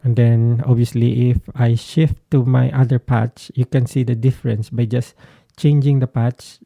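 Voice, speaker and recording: A man's voice: medium pitch, flat delivery, moderate pace, gravelly, mellow, soft background music. A man giving a casual explanation as a guitarist vlogger, hesitant and searching for his words. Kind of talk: casual explanatory talk to camera.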